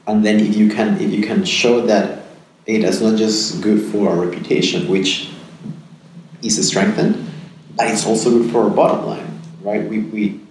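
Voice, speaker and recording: Podcast guest, man in his 30s from Peru; deep voice, reflective and repetitive delivery; echoey room with faint hum. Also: fairly even intonation, normal speed, a little mellow. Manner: thoughtful, repeats words and tags sentences with "right?". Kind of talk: conversational interview answers.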